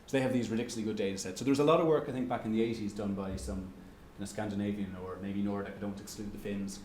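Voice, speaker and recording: A man's voice: fairly low pitch, fairly even intonation, darkish tone, a little hiss or hum. A man with an Irish accent answering audience questions at an economics lecture, stumbling through restarts and fillers. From Ireland. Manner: halting, many restarts and fillers. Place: lecture room. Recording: echoey lecture room.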